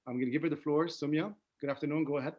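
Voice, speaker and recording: A man's voice: a little high, steady tone, very fast, darkish tone, a little hiss or hum. A man moderating a webinar, asking questions with many restarts and fillers. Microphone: video call.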